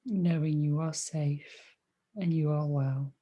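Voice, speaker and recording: A woman leading a guided meditation as a teacher, speaking softly and soothingly in short phrases with long pauses between them. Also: sits low, fairly even intonation, muffled recording. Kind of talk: guided meditation, body scan.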